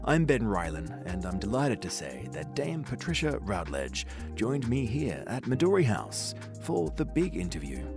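A man with a lowish voice and lively intonation presents in a polished way, moving from a scripted introduction to interview questions. Music plays clearly underneath him. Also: normal speed.

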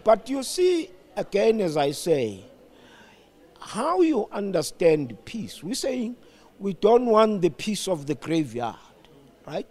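An older man speaking as a radio interview guest, making his argument in an emphatic, measured way. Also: high voice, very animated, mellow. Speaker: former broadcasting executive, radio guest.

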